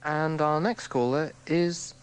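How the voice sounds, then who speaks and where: A man's voice: a little high, fairly even intonation, slow, smooth. A man hosting a live radio phone-in in a polite manner. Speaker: phone-in host. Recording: archive radio tape, faint noise.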